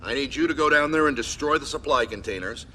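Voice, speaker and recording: An actor playing a stern military commander in a video game, briefing troops in a clipped, formal, authoritative manner. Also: male voice, medium pitch, fast, sharp tone, a little hiss or hum, close to an everyday voice. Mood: commanding.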